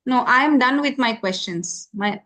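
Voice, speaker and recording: A woman's voice: a little high, moderate pace, pushed and bright. Patient woman tutor. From Karnataka, South India. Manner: patient, repeats key points with many "okay?" checks. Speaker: English tutor. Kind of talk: phone conversation practice.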